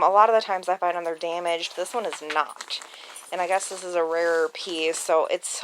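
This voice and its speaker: A woman's voice: mid-range voice, a little hiss or hum. A woman chatting casually and informally as a reseller vlogger, with mild slang.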